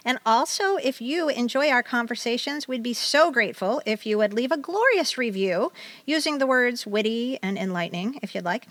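Bright, high-voiced woman podcaster, dry sound. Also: lively intonation, moderate pace, no room sound. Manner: enthusiastic, chatty. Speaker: podcast co-host. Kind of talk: casual podcast chat.

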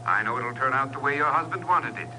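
An actor playing a smooth-talking swindler posing as an investment salesman in a 1950s radio drama. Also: medium pitch, expressive, fast talker, close to an everyday voice. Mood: reassuring. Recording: noisy, humming, distant vintage radio recording.

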